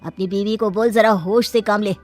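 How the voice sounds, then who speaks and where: A woman's voice: medium pitch, fairly even intonation, moderate pace, faint music behind, close to an everyday voice. A woman voicing a haughty, intolerant male elder who scolds and commands, in a cartoon moral story. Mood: angry scorn.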